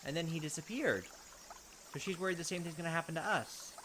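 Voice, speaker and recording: A man's voice: fairly high pitch, fast talker, noisy background. A man hosting a let's play, reading the game's narration aloud and adding wry comments in between. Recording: game audio with loud cricket ambience.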